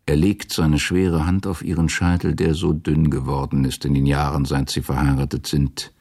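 Radio drama narrator: man, rock-bottom pitch, raspy fry, scripted literary storytelling. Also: little pitch movement, moderate pace, darkish tone.

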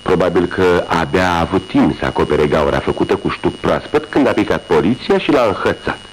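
An actor playing a brilliant, methodical detective who calmly explains his deductions in a radio drama. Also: deep voice, a little hiss or hum, low-quality recording. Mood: explaining. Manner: measured, explaining deductions step by step. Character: brilliant methodical male detective, calm and wry. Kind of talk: 1980s radio drama acting.

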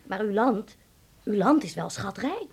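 A woman playing a fluttery, inquisitive middle-aged German aunt in a radio drama, with a breathy voice. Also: mid-range voice, big pitch swings, takes it slowly, heavily acted. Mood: fluttery, curious.

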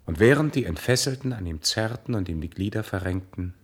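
An actor playing a bitter, jealous betrayed singer in a German radio play, with a theatrical, sardonic and pensive delivery. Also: male voice, fairly low pitch, lively intonation, a little fast.

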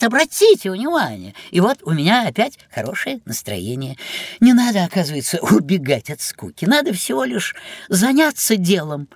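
A man's voice: high-pitched, breathy edge, no echo, heavily acted. A man playing a pompous, lazy, talkative non-human housemate in a children's audio drama, speaking in a flowery, theatrical way. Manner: theatrical, flowery, drawn-out speech with musing interjections.

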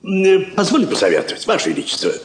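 A man's voice: sits high, huge pitch swings, fast talker. A man acting a deferential, fussy court counsellor in a stage drama. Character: obsequious, cautious male royal counsellor. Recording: roomy old recording with hiss.

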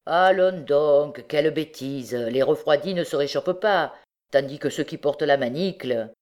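A woman voicing a callous male ex-convict, a rough street villain who talks in a scornful, slangy, offhand way. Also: deep voice, normal speed, darkish tone, natural voice.

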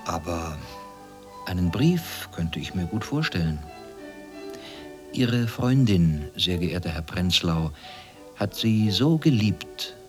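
A very low-voiced, cynical East German secret police officer in a radio drama. He speaks deliberately, with sardonic menace and false modesty, over clear background music. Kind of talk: German radio play acting.

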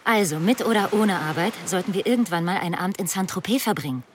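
An actress playing a frivolous young mistress on holiday in a radio drama, chattering in a languid drawl. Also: female voice, fairly low pitch, quick pace, a little hiss or hum, close to an everyday voice. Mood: chatty, dreamy. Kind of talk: naturalistic radio drama acting.

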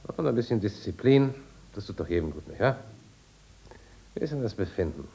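Casting: patronising psychiatrist, deep voice, genial but probing, unhurried, 1950s radio drama. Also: male voice, lively intonation, gravelly, mellow, breathy edge, full character voice. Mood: smug, probing. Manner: patronising, unhurried, murmuring little questions. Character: smugly genial, probing male asylum doctor. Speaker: medical officer and psychiatrist.

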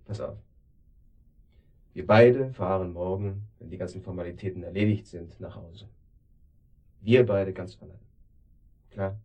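An actor playing a domineering, controlling man in a radio drama, pressing with questions and swinging between sharp and soothing. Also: low-pitched, unhurried, pauses often. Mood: condescending, coaxing. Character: domineering, controlling man, cynical and coaxing, hiding guilt. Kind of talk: naturalistic radio drama acting. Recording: studio radio play.

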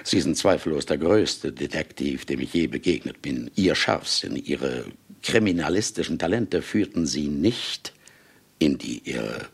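An actor in a German radio play as a celebrated writer who smugly confesses to murders, urbane, condescending and theatrically deliberate. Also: male voice, extremely low pitch, animated, vocal fry, slightly rough, heavily acted. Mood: solemn, confessing.

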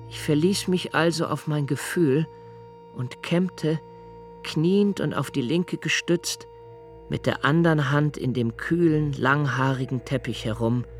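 A middle-aged man giving a quiet, measured, inward first-person narration in a literary radio play, recorded in a studio. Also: fairly high pitch.

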